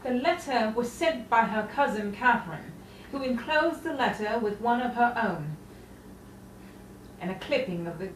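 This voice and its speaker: An actress playing a solemn, burdened sister bearing tragic news, with a grave, measured theatrical delivery. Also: female voice, fairly high pitch, lively intonation, natural voice. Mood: grave, sorrowful. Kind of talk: 1950s-style romantic drama acting. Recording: roomy, distant, clearly noisy stage sound.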